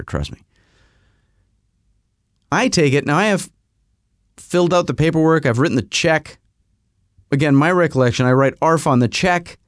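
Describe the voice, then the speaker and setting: Animated man telling a comic story. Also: medium pitch, dry recording, studio quality. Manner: animated, rambling, full of self-mocking asides. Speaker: podcast host.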